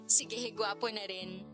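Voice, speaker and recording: A woman's voice: on the high side, sharp tone, heavily acted. A woman playing a flirty, teasing married mother in a conversational radio drama, with a playful, coy delivery. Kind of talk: Tagalog radio drama dialogue.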